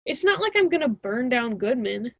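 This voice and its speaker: A woman playing a stubborn, cocky college student in an amateur radio drama, flippant and husky, on a dry, dull recording. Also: fairly high pitch, very animated, moderate pace, no room sound, exaggerated voice. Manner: flippant, casual, argumentative.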